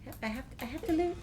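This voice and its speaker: A woman giving casual video game commentary, thinking aloud as she plays. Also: sits high. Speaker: gamer narrating a playthrough. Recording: clear background noise, keyboard typing.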